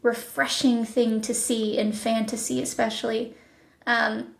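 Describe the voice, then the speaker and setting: A woman speaking as an interview guest and producer, pitching a project conversationally with enthusiasm and thoughtful pauses. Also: level tone, vocal fry. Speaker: podcast guest, audio drama producer. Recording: echoey, roomy sound.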